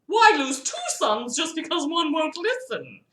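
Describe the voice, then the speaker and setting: A woman voicing a smug young man who talks in a high valley girl cadence. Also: sing-song, unhurried, bright, forceful tone, heavily acted. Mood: persuasive. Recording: roomy echo.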